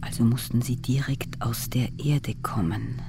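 Man, literary recitation, breathy half-whisper, measured phrasing, slightly distant from the mic, music underneath. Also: medium pitch, fairly even intonation. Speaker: reader of poetic prose in an audio piece. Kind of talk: literary recitation in a radio sound composition.

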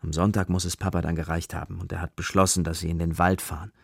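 A man narrating a German children's radio play as its storyteller, in short, measured storybook phrases. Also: low voice, flat delivery, gravelly, slightly rough, no room sound, studio quality, heavily acted. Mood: plain narrating. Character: male storyteller of a children's forest adventure.

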